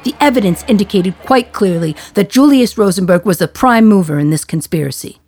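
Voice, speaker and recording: A woman's voice: mid-range voice, expressive, a little fast, darkish tone, husky, some chatter behind. A woman voicing a stern male judge handing down a grave, emphatic sentence in a radio play. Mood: stern, condemning.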